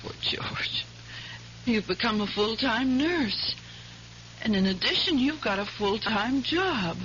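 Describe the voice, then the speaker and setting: A frail, self-effacing wife from old radio drama. Her delivery is slow and gentle, with swooping pitch and a clear bright edge. The recording is slightly muffled. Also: animated. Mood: weary, tender. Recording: slightly noisy dull 1970s radio recording.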